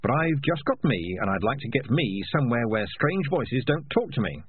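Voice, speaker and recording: A man's voice: mid-range voice, big pitch swings, normal speed, slightly rough, no echo, phone-quality sound, pitched above the performer's own voice. A man voicing a cynical, self-serving talking cat who wheedles and justifies himself. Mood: wheedling.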